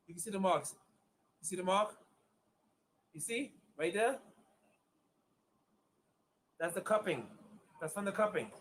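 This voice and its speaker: A man's voice: sits high, a bit bright, slight background noise. A man of fifty, an acupuncturist, giving a casual online demonstration in a chatty, repetitive way and calling viewers y'all. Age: 50s. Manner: chatty, repeats himself, addresses viewers as y'all.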